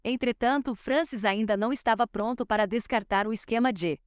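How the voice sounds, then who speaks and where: A woman with a Brazilian Portuguese accent reading an audiobook in a steady, measured way. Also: on the high side, clean tone, dry recording, studio quality. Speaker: audiobook narrator.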